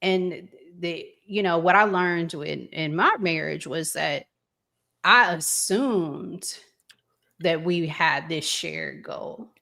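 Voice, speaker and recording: A woman with a slightly low voice talks at a very unhurried pace. She sounds like a podcast host in a reflective conversation, earnest and given to repeating phrases for emphasis. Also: vocal fry, sharp tone. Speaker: relationship podcast host asking questions.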